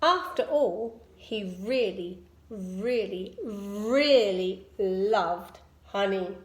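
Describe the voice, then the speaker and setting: A woman reading a children's picture book aloud in an expressive storytelling style. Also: mid-range voice, very slow. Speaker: storybook reader. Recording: echoey room.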